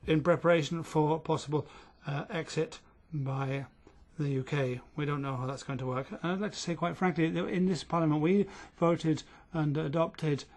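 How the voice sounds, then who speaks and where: Man interpreting at a parliament hearing. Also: a little high. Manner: steady, measured interpreting with uneven pace. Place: parliament committee room.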